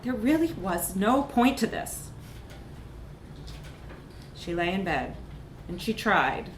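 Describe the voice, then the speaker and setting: A woman reading a comic short story aloud in a live literary reading, with expressive, dramatic emphasis. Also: medium pitch, takes it slowly. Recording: echoey room with background noise.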